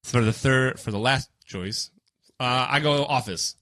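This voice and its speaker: A man's voice: mid-range voice, a little slow, sharp tone. A man co-hosting a podcast, talking in a laid-back, slangy way and leading the banter. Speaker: podcast co-host. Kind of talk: casual chat.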